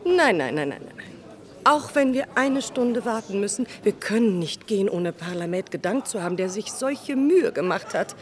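An actress playing an effusive, insistent high-society lady in a radio drama, with an affected, emphatic manner. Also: very animated, normal speed, some chatter behind, lightly acted.

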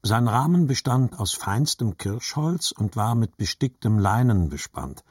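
A man's voice: lowish voice, expressive, measured pace, husky, no room sound, clean studio sound. A man narrating literary fiction for an audiobook in a scripted reading style.

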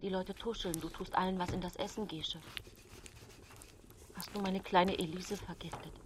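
An actress plays a grieving mother in a radio drama. She is suspicious and accusing, yet subdued. Her voice is on the low side, with a faint noise behind it. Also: fairly even intonation, normal speed, lightly acted.

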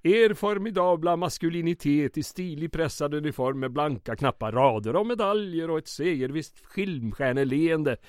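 An actor playing a smooth-talking wartime mayor, ornate and dryly ironic under polite deference, in old-time radio comedy style. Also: male voice, a little high, expressive, few pauses, no echo, clean studio sound, lightly acted. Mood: sardonic.

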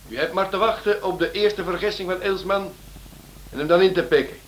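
An actor playing a shrewd, courteous writer and amateur sleuth in a radio play, with an animated, probing manner. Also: male voice, sits high, lightly acted. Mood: brisk. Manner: animated, polite, probing, swings between brisk and hesitant. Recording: noisy old radio drama recording.

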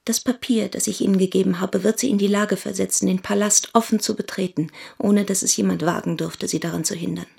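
An actress playing an imperious yet anxious princess of old China in a German radio play, speaking formally and with command. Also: female voice, mid-range voice, fairly even intonation, fairly quick, vocal fry, few pauses, a little mellow. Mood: solemn.

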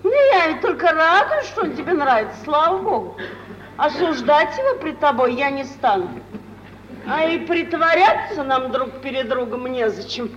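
A woman's voice: high voice, animated, moderate pace, fairly bright tone. An actress playing a shrewd, worldly middle-aged mother, theatrical and ironic, in an old Russian radio drama. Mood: placating. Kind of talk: mid-century Russian stage drama acting for radio. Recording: dull, hissy 1950s radio recording.

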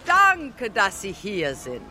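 A woman's voice: mid-range voice, wildly swooping pitch, slow, background noise. A demanding lady film director, radio drama, commanding and clipped, voice goes up and down a lot. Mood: brisk, commanding. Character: imperious, vain, demanding older female film director. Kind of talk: German radio drama acting.

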